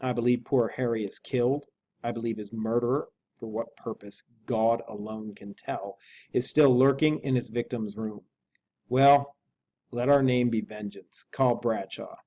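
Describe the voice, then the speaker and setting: A man voicing a grave, formal and resolute Victorian gentleman lawyer in a measured reading of a classic novel. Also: medium pitch. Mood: solemn resolve.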